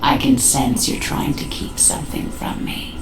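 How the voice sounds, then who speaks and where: A woman playing a menacing, coldly taunting alien swarm queen in a video game, her voice dark and echo-processed. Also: unhurried. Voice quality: dark, echoing. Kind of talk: video game dramatic acting. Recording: echoing, distant voice over music.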